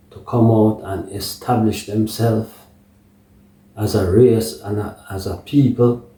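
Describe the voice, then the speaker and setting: A Jamaican man delivers unscripted political commentary at a slow, halting pace. His voice is lowish and mellow, with patois turns of phrase and rhetorical check-ins. He sounds recorded in an echoey room, away from the microphone. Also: far from the mic.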